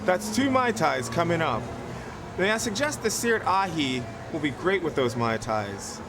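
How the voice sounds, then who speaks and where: A man's voice: fairly high pitch, big pitch swings, unhurried. A man playing a polite, attentive restaurant waiter in a training film, courteous and eager to please, recorded on a noisy location. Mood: courteous, upbeat. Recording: noisy location sound with clear background noise.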